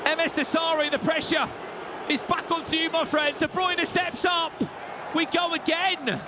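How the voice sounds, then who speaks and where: A man casting an esports penalty shootout, excitable and shouting, his voice going hoarse. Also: extremely high pitch, moderate pace, crowd noise, background noise. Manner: excitable, shouting at big moments. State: losing his voice. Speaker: esports caster. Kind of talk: play-by-play commentary.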